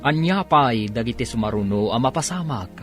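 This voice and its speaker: A man's voice: medium pitch, animated, moderate pace, music in the background. A man with an Ilocano accent narrating and announcing a radio drama in a dramatic scripted style.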